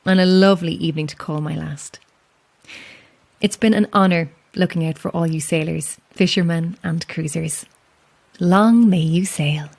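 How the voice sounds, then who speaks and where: Low-voiced, chatty lighthouse keeper. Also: female voice, normal speed, vocal fry, darkish tone. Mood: wistful, self-mocking. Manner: chatty, self-deprecating asides, hesitant. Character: chatty, self-deprecating woman lighthouse keeper, friendly and wistful. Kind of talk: naturalistic audio drama acting.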